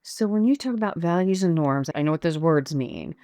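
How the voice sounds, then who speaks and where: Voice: mid-range voice, animated, a little fast, dark tone, dry recording, studio quality. A podcast host who reflects on and sums up the guest's points in an interview. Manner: summarizing, reflective, affirming. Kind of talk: interview conversation.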